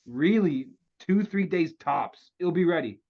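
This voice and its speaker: A man's voice: on the high side, animated, unhurried, vocal fry, heavily acted. A man playing an anxious, pleading weapons scientist in a comedy table read. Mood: desperate. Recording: dull, lo-fi online call.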